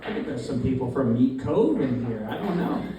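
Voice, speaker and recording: A young man from Newfoundland doing a first stand-up set in a deadpan, halting way, with many fillers. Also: mid-range voice. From Newfoundland, Canada. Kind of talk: open-mic stand-up comedy. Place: small comedy club, in front of a live crowd. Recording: very echoey club, distant mic, crowd. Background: audience laughter.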